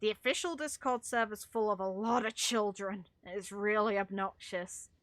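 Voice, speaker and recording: A woman's voice: medium pitch, moderate pace, fairly bright tone, dry recording. A British woman chatting casually while streaming a game, with a blunt, opinionated manner and some mild swearing.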